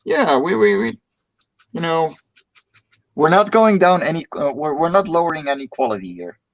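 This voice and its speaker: A man's voice: a little high, expressive, moderate pace, low-quality recording. A Dutch man hosting a casual livestream chat, upbeat and joking, often repeating words as he starts a sentence. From Netherlands. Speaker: stream host.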